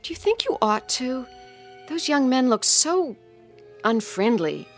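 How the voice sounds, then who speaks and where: A fretful but soothing suburban mother in radio drama style. Her fairly high voice rises and falls widely, with faint music underneath. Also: very animated, a little slow, exaggerated voice. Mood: uneasy.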